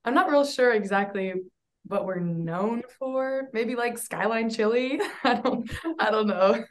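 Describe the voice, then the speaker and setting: A woman's voice: on the high side, takes it slowly. A young woman from Northern Kentucky, a college basketball player, chatting casually in a podcast interview, laughing easily and often saying "like". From Northern Kentucky, USA. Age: young adult. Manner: relaxed, laughs easily, many "like" fillers.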